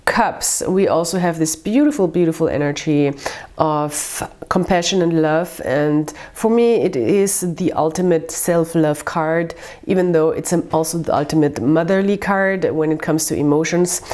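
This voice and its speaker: A woman with a cold and a stuffy nose, giving a casual, unhurried tarot reading with many pauses and fillers. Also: low-pitched. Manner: dreamy, unhurried, lots of ums, warm reassurance. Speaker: tarot reader.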